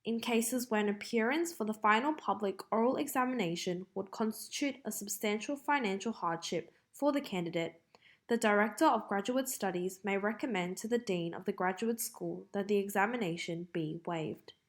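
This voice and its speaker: Woman, Australian accent, medium pitch, scripted read-aloud, careful delivery, practice-passage reader. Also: normal speed.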